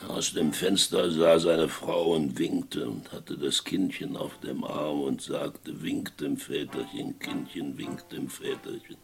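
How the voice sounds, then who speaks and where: A man narrating a Western radio play in a solemn, deliberate and sometimes hushed manner, as a grave storyteller. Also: full character voice. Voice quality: very deep, gravelly.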